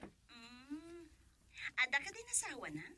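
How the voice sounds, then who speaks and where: An actress playing an eager, bubbly young woman in a radio drama, gushing and animated. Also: sits high, slow, no room sound, full character voice.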